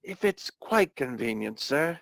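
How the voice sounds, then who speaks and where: Male actor as humble clerk, radio drama, halting, deferential, very animated pitch, unhurried, gravelly creak. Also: no room sound, studio quality, full character voice. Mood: meek. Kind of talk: radio play acting.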